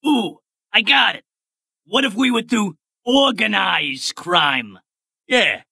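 A man voicing a brash, greedy, wisecracking male goblin in a video game, gravelly and sharp, like a fast-talking wise guy. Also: sits high, lively intonation, no room sound, clean studio sound, heavily acted. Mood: cocky, wisecracking. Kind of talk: video game character voice lines.

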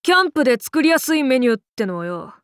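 A woman voicing a brash, rough but caring tomboy warrior in an anime game, with blunt, cocky, masculine speech. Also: high-pitched, big pitch swings, fast talker, no echo, clean studio sound. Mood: casual, bossy. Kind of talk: Japanese anime game character lines.

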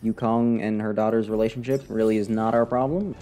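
A young man streaming a video game from a close desk mic, giving casual, sarcastic, indifferent commentary in a deadpan way. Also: lowish voice, a little fast, a little hiss or hum. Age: young adult. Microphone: desk microphone close to the face. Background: game music.

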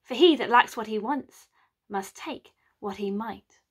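A woman's voice: a little high, measured pace, breathy edge. Woman putting on a cocky thief with a posh accent. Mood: whispered spell. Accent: put-on posh southern English. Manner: swaggering, overblown and sly. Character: cocky lean male thief faking a posh southern accent.

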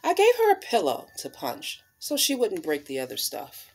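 A woman in her thirties reading aloud to children as a storyteller, with deliberate, dramatic emphasis on key words. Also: medium pitch, wildly swooping pitch, a little mellow, slightly rough. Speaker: storyteller reading a picture book. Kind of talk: expressive read-aloud for children. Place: small living room, indoors.

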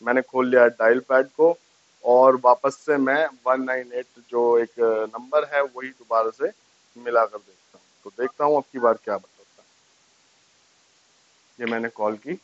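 A man's voice: medium pitch, fairly even intonation, a little slow, highs cut off. A man gives a casual step-by-step tutorial to camera, explaining and repeating each step. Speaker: tech tutorial host. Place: small furnished room indoors, on a sofa. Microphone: lapel mic.

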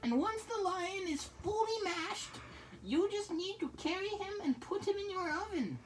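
A high-voiced performer voicing an elderly, muddled grandma teddy bear who gives halting cooking instructions in an amateur puppet skit. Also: expressive. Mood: cheerfully instructive. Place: small bedroom, indoors. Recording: noisy home recording with clicks.

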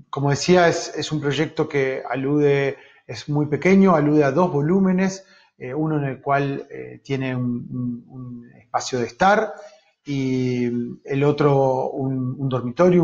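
A middle-aged man with an Argentine accent from Rosario gives an online architecture lecture in a halting, reflective way with long pauses. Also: on the high side. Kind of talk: online lecture on own work. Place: small book-lined room indoors. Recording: dull, lo-fi web-call audio.

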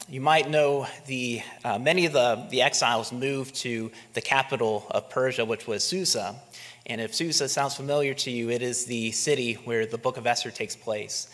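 A man's voice: medium pitch, a bit bright. A man in his thirties, a pastor, preaching a sermon from a pulpit in a conversational way, asking his listeners rhetorical questions. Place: church sanctuary, at a wooden pulpit. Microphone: pulpit microphone. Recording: long reverb of a church hall.

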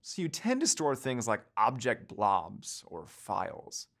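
A man in his thirties delivers a scripted lecture for an online course, standing in a studio and talking with his hands. His voice sits at an ordinary middle pitch for a man but moves up and down a great deal. He explains every point in steady, clear steps. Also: slow. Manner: explanatory, gestures with both hands while talking. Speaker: course instructor.

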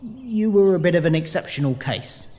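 A man's voice: high-pitched, expressive, moderate pace, a little mellow, long reverb tail. A man playing a grumbling phantom father in a sci-fi audio drama, with a wry, conversational delivery.

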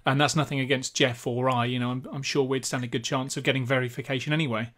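A man in his forties, a podcast co-host, explaining things in a measured, articulate way during casual tech chat. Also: medium pitch, level tone, a bit bright. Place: small home office.

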